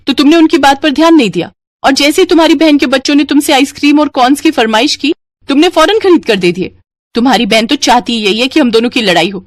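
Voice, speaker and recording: A woman voicing aggrieved young married women in an animated Hindi family story, with an emphatic, complaining manner. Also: sits high, dry recording, studio quality. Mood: angry.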